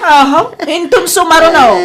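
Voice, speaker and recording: A woman's voice: very high voice, normal speed. A woman playing a careless young dog owner in an Ilocano comedy radio drama, defensive and dismissive, with an Ilocano accent.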